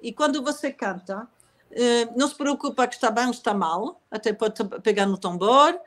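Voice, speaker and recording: A woman with a fairly high voice talks calmly about poetry and song, then reads gently from her novel. Her speech is reflective and unhurried, and a slight leftover cough from a recent illness still shows. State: lingering cough after illness. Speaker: author. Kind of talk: conversational interview, then reading aloud from her novel.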